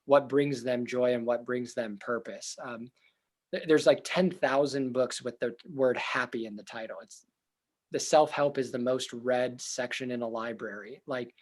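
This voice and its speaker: Earnest young man, loud and animated. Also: medium pitch, a little slow. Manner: loud, earnest, thinks aloud with fillers and restarts. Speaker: therapist and interview guest. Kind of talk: reflective casual interview talk.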